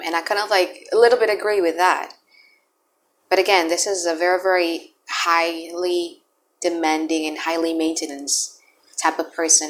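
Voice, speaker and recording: A woman's voice: lowish voice, a little hiss or hum. A woman whose first language is Indonesian gives a chatty, blunt tarot reading, thinking aloud as she turns the cards. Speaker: tarot reader. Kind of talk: casual tarot reading to camera.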